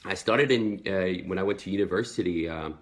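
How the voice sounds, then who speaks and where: A man's voice: deep voice, a little fast. A Swedish man in his twenties with an American accent, talking earnestly and motivationally to followers in a live video, with many fillers. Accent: American, learned in the United States. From Sweden. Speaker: network marketing entrepreneur.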